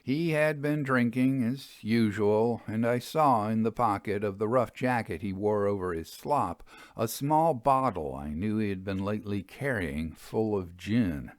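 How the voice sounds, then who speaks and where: A man giving a measured, expressive, dramatic audiobook narration of a Victorian ghost story. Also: lowish voice, dry recording, studio quality.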